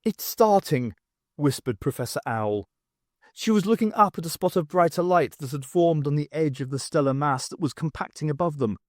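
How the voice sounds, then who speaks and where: Higher-pitched man narrating a story. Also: expressive, a little fast, no echo, studio quality. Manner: dramatic reading with acted dialogue. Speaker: audiobook reader.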